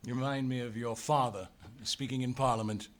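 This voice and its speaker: A man's voice: mid-range voice, fairly even intonation, brisk, lightly acted. An actor in a live radio play playing an earnest young Englishman who speaks tenderly to the woman he loves. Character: earnest devoted young Englishman, steadfast suitor.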